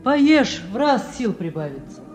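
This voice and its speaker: A motherly farm cook in a Soviet radio play. She speaks in a soothing, homely, folksy way, with music clearly behind her. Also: on the high side, very animated, unhurried. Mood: caring.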